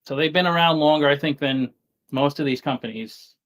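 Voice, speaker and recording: A man from New York City with a softened New York accent, talking casually as an interview guest, halting and often repeating words as he restarts. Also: a little high, rough recording. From Staten Island, New York City. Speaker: guest investor.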